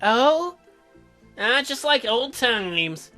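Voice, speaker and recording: A game player voicing a chirpy, nervous teenage girl who is halting and easily flustered. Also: mid-range voice, sing-song, pushed and bright, soft background music, exaggerated voice. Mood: hesitant, curious.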